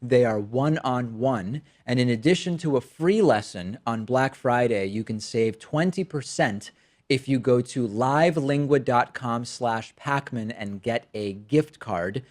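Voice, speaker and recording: A man's voice: medium pitch, unhurried, darkish tone. Sardonic male political commentator. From Spanish-speaking country, raised in the United States from childhood. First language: Spanish. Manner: sardonic, incredulous, emphatic; reads a sponsor ad. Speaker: political commentary show host.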